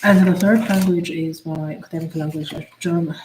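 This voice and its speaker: A woman whose first language is Chinese, chatting on a study livestream in a halting way, with many fillers and restarts. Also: lowish voice, fairly even intonation. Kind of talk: casual study-stream chat. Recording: echoey room with faint ambient noise.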